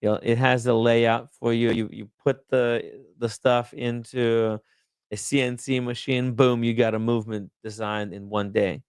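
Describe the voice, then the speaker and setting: A male host talks on a livestream in a slow, unhurried way. His voice is gravelly yet smooth and resonant. The sound is close and dry. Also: medium pitch, dry recording. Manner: relaxed, chatty, laughs easily. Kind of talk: casual livestream chat.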